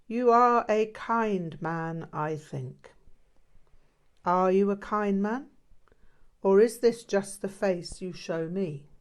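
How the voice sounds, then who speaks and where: A woman's voice: medium pitch, slow pace, clean tone, lightly acted. A woman voicing a stern, probing old male Buddhist master in an audiobook, with blunt, emphatic short sentences. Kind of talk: audiobook character dialogue.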